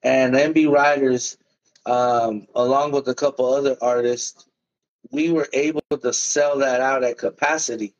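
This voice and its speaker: A man's voice: mid-range voice, steady tone, unhurried, dull audio. A musician guest in a casual interview, speaking in loose run-on sentences and calling the host "man" and "bro".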